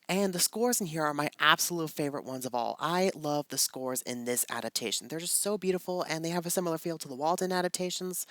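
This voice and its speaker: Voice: mid-range voice, fairly bright tone, no echo. A reviewer giving casual, opinionated commentary, ranking things and repeating words for emphasis. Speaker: amateur film and audio drama reviewer.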